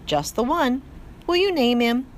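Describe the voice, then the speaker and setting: A woman's voice: high-pitched, expressive, unhurried, pitched above the performer's own voice. A woman voicing a weary, worried father mouse in a plain, matter-of-fact way.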